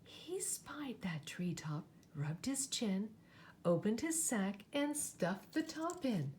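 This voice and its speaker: Unhurried woman reading a children's storybook aloud. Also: mid-range voice, slight background noise. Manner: animated, dramatic, with asides to listeners. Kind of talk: expressive read-aloud for children.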